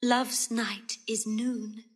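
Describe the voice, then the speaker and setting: A proud, lovesick noblewoman in a classical audio drama. Her voice is breathy and fairly high, delivering verse with passionate yearning. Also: flat delivery, very slow, full character voice.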